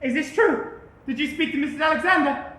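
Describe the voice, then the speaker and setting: A woman playing an angry, swearing father who shouts and sneers with bitter laughs. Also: high-pitched, brisk. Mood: suspicious. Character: furious working-class father, swearing. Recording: very echoey room.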